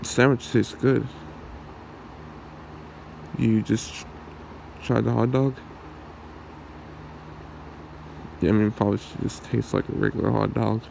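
Gravelly, hesitant lovestruck young man, acted by a man. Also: fairly low pitch, fairly even intonation, a little mellow, a little hiss or hum, poor audio. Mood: embarrassed, nervous, then casual. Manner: hesitant, halting, awkward. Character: shy young man, secretly a werewolf, lovestruck best friend. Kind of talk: ASMR roleplay acting.

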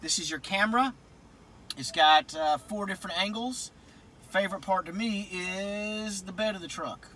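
A man's voice: high voice, normal speed, pushed and bright. A middle-aged man giving a casual, folksy walkaround from inside a pickup truck cab. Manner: folksy, informal. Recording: camera audio with cabin noise.

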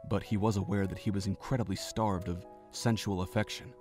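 A man narrating a fan audio drama in an even, measured reading voice. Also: low voice. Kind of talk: scripted story narration. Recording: home narration with faint music.

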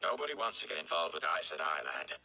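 Man as a cautious village mayor, gravelly. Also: a bass voice, expressive, pushed and bright, voice pushed down, full character voice. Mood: grave, hesitant. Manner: slow, hesitant.

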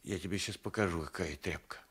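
An actor playing a humiliated old peasant husband in a radio drama, bitterly angry, indignant and agitated. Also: male voice, deep voice, fairly quick, a bit bright, slightly rough. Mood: bitter anger. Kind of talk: 1980s Soviet radio drama acting.

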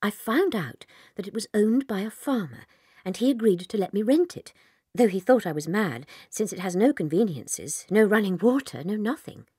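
A gentle young British schoolteacher from a children's audiobook drama. Her voice is breathy and expressive, careful and a little timid, in a dry studio sound. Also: medium pitch, moderate pace, no echo. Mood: troubled, confiding.

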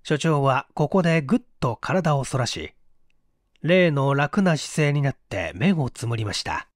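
A man narrating a mystery story in a measured, deliberate storytelling manner. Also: medium pitch, lively intonation, no room sound, studio quality. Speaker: audiobook narrator.

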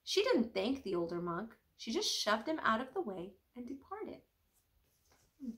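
A young woman reading a children's storybook aloud in an expressive, teacherly way with careful pauses. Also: on the high side. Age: young adult.

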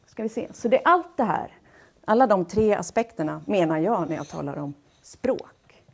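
A woman giving an explanatory public lecture in a measured, pausing and somewhat hesitant way. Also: mid-range voice, expressive, creaky, slightly rough. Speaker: lecturer, speech-language pathologist. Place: wood-panelled lecture hall, indoors. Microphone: headset.

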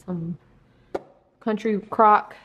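A woman's voice: mid-range voice, extremely slow, fairly bright tone. A young woman in her twenties chatting casually and playfully in her apartment kitchen as she films a vlog on a handheld phone. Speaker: vlogger.

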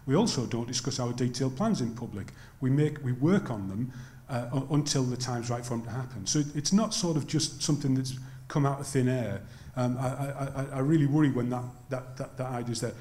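Older male panelist, stammering restarts, mid pitch, roomy hall sound. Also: dark tone. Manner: halting, many restarts, then earnest. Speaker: panel speaker. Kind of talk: lecture Q&A answer. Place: lecture theatre. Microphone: handheld mic. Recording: echoey lecture hall.

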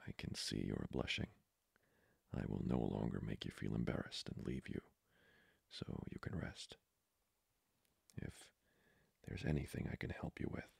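Casting: male actor as seductive aristocratic vampire for ASMR roleplay, extremely low gravelly voice, courtly and intimate. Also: dry recording, exaggerated voice. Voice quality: breathy, whispery, gravelly. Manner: formal, slow, seductively polite. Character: flirty, courtly male vampire lord, hungering for blood.

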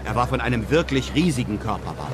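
A male actor as a self-assured adventurer telling his own tale in a radio drama. His narration is measured and literary, in a medium-pitched voice, with music clearly playing along. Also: animated, close to an everyday voice. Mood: composed. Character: adventurous European traveller recounting his exploits, self-assured. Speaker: first-person narrator.

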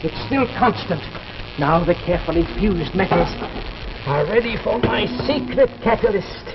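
An older man playing an obsessed, desperate elderly alchemist father, with theatrical, excitable delivery in a 1950s television drama. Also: high-pitched, moderate pace, very noisy. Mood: tense anticipation. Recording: dull old TV soundtrack, loud music behind.

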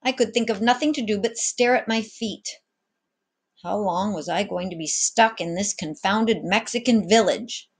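A woman's voice: mid-range voice, normal speed, breathy edge, lightly acted. A woman voicing an anxious, bewildered young cabin boy in a storybook read-aloud, plain and uncertain. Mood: worried.

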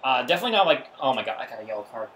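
A man's voice: medium pitch, sing-song, very fast, sharp tone. A man giving casual gameplay commentary, talking to himself in exasperation and often repeating phrases. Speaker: gaming video creator.

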